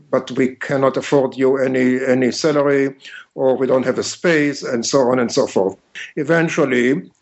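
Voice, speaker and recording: Older Israeli man, dull lo-fi call. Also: medium pitch. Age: 70s. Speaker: interview guest, author and retired mathematics teacher. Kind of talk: unscripted anecdotal interview talk. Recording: dull, lo-fi long-distance call.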